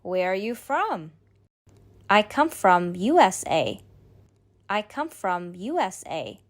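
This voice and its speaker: A woman reading a beginner's speaking drill, saying each line slowly and clearly, then repeating it. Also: medium pitch, sing-song. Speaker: model speaker in a language drill. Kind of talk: slow scripted drill reading.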